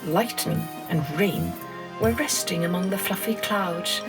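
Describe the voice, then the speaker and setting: A woman storyteller reading a scripted children's tale in a deliberate, expressive manner. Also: lowish voice, over music. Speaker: storyteller, podcast host.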